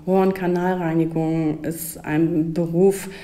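Woman boss with a warm roomy sound. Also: low voice, a little slow. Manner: lively, laughs easily. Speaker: company owner. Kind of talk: interview answers. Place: company office.

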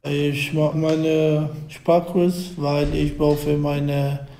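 A man speaks slow, careful learner's German. His voice is flat and mellow. It sounds roomy. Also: fairly high pitch. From Kosovo. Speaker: technician, language student.

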